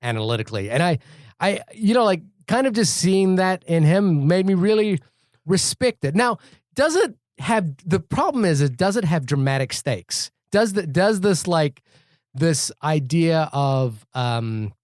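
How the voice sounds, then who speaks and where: A man co-hosting a casual film podcast, talking in animated, rambling bursts whose pitch jumps up as he starts each point. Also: measured pace, no room sound, studio quality. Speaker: podcast co-host. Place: New York.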